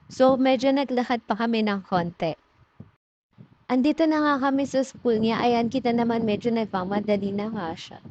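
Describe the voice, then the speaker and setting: Higher-pitched Filipino woman, chatty vlog narration. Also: highs cut off, background noise. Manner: chatty, informal. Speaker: mom vlogger. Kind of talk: casual vlog voice-over narration.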